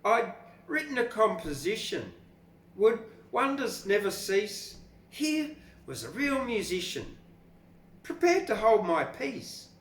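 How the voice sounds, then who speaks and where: Older aussie bloke doing poetry, slow and rambly, roomy echo. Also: male voice, sits high, sing-song, a bit bright. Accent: Australian. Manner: rambling, informal, blunt. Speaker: poetry podcast host and reader. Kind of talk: casual commentary and expressive poetry reading. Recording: echoey room with faint background noise.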